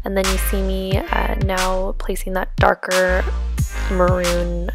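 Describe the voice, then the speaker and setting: A young woman narrating a makeup tutorial in voice-over, relaxed and step by step with some ums, her voice a little off from being sick. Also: mid-range voice, slow. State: sick, voice a bit off. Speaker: beauty vlogger. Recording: voice-over with music underneath. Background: music.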